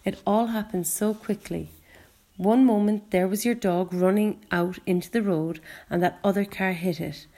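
A woman's voice: mid-range voice, fairly even intonation, normal speed, mellow, natural voice. A woman voicing a remorseful, kind Scottish father, earnest and apologetic, with a wobbly voice, in an audiobook story. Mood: remorseful, upset. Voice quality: wobbly, emotional voice. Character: remorseful Scottish father who caused an accident, upset and kind.

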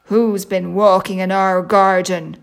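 A woman voicing a gruff, cross father bear in a children's storybook, growling out his complaints. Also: medium pitch, flat delivery, takes it slowly. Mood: suspicious growl.

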